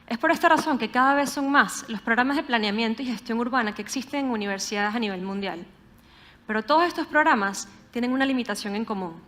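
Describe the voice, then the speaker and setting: A woman's voice: fairly high pitch, a bit bright. Earnest Venezuelan woman giving a talk. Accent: Venezuelan Spanish, Caracas. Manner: earnest, measured, with rhetorical questions. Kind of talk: prepared public talk.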